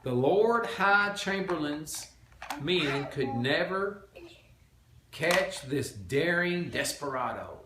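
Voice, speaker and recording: An older man reading a children's storybook aloud in an animated, grandfatherly style. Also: on the high side, slow pace. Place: indoors at home, children around. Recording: echoey room, background noise.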